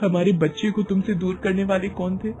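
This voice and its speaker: A storyteller voicing a gentle but resolute devout young mother in an earnest, dramatic story style. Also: medium pitch, fairly even intonation, brisk, natural voice. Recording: music bed under voice.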